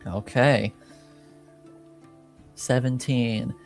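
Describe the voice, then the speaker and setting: Slow-paced man running a tabletop game. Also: medium pitch, fairly even intonation, vocal fry, faint music behind. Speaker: game master. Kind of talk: improvised narration and rules talk in a tabletop game.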